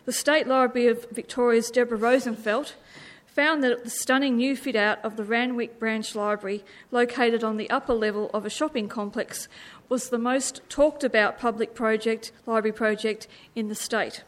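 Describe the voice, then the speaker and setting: A woman host giving a formal, smooth introduction of a guest speaker. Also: fairly high pitch, fairly even intonation, normal speed, few pauses.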